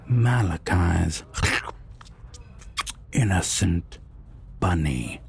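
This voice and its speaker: A man playing a sinister, possessive vampire villain in a roleplay audio drama, taunting and deliberate with a breathy, gravelly half-whisper. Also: low-pitched, expressive, darkish tone, full character voice. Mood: possessive, mocking. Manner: slow, deliberate, taunting, with long pauses.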